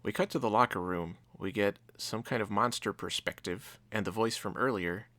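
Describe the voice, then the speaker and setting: A man's voice: fairly low pitch, moderate pace, pushed and bright, no echo. A man co-hosting a review, casually recapping and commenting on a TV episode. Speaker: reviewer, co-host.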